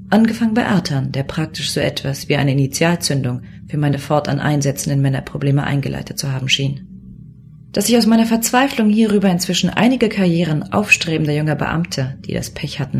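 A cool, self-assured female commissioner in a space audio drama. Her voice sits low, with a sardonic, determined edge. Music runs clearly behind her. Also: a little mellow. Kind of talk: German audio drama acting with inner monologue.

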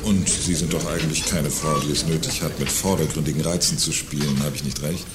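A man's voice: extremely low pitch, fairly even intonation, brisk, very noisy. An actor playing a smug, condescending salesman in an audio drama, speaking with sardonic mockery. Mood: smug flattery. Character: smug, mocking male boutique salesman with a condescending streak. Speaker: sales clerk. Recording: music behind the voice.

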